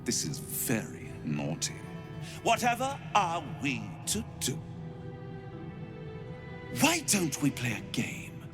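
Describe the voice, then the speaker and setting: An actor playing a seductive, cruel and playful incubus in a video game, with theatrical, teasing delivery. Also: male voice, a little high, wildly swooping pitch, slow pace, long pauses, music in the background, full character voice. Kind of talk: video game character acting.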